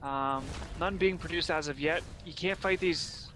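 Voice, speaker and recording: A young man from Pennsylvania giving steady, explanatory play-by-play commentary on a strategy game. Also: a little high, measured pace. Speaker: play-by-play caster. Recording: faint background noise.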